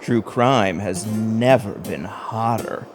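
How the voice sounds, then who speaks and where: A man's voice: on the low side, slow pace. A man acting in an audio play as a resentful husband turned scheming killer, with a theatrical manner. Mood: smug, cold. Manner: theatrical, switching from pleading to smug. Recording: music behind the voice.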